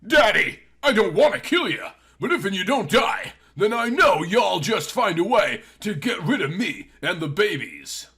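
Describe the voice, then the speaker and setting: A bitter young Southern heiress, acted by a male narrator spits out sardonic lines in a forceful, raised voice. Her delivery swings widely, cold and vengeful, in a melodramatic story. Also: high-pitched, very animated, moderate pace, hardly any pauses, slightly rough, pitched above the performer's own voice, exaggerated voice. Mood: tearful resolve.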